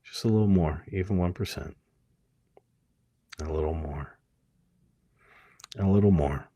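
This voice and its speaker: A man leading a guided hypnosis meditation, speaking gently and soothingly in short phrases with many pauses. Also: sits low, no room sound.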